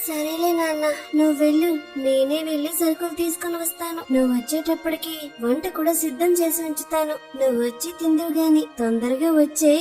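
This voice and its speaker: A woman's voice: sits high, fairly even intonation, brisk, mellow, faint music behind, close to an everyday voice. A woman playing a caring, coaxing middle-aged mother in a cartoon story. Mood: weary, caring. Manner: coaxing, affectionate.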